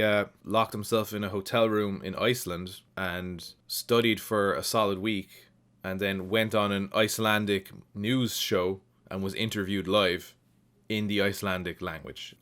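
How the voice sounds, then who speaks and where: A man's voice: on the low side, fairly bright tone. A man with an Irish accent casually reading and answering viewer comments as a channel host, in a reflective, explanatory way. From Ireland.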